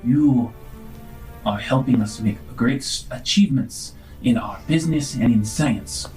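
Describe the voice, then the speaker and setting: A man playing an earnest, grateful scientist doctor in a tabletop space game, speaking in a formal, measured way. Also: medium pitch, darkish tone, soft background music, close to an everyday voice, low-quality recording.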